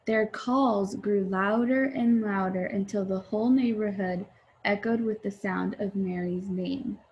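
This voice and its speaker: A woman's voice: mid-range voice, fairly even intonation, unhurried, a little mellow, lo-fi sound. A woman teacher reading a storybook aloud and explaining it simply to young children. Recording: faint background noise.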